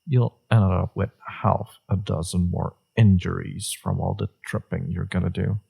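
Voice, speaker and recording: Man acting a gravelly, husky, flirtatious villain. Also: level tone, dark tone, full character voice. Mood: concerned, chiding. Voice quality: low, husky, gravelly, breathy. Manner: slow, teasing, murmured delivery. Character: flirtatious teasing male villain, secretly tender and caring. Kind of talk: romantic audio roleplay, intimate scripted acting.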